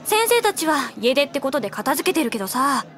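A woman playing a gossipy, pushy high school girl in an anime audio drama, speaking casually. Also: big pitch swings, fairly quick, fairly bright tone.

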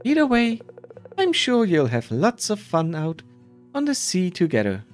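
A performer voicing a cheerful, excitable animal villager in a cosy life game, over music. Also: medium pitch, a little slow, pitched above the performer's own voice. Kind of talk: voiced game dialogue.

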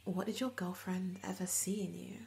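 A woman's voice: fairly low pitch, fairly even intonation, normal speed, gravelly, a little mellow. Woman acting haughty, scoffing warrior. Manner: disgusted, scoffing. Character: haughty, disdainful female warrior squad member. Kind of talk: audio roleplay acting.

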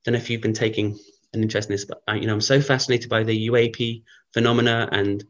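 Man, guest on an interview, croaky early voice, rambling and filler-heavy, dull lo-fi sound. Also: lowish voice, little pitch movement, fast. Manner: rambling, thinks aloud with many fillers. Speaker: guest researcher. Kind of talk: casual interview talk.

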